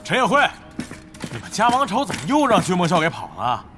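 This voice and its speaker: A man's voice: high-pitched, huge pitch swings, fairly quick, over music. An actor playing an indignant, blustering male rival guild leader in a Chinese audio drama, confrontational and complaining.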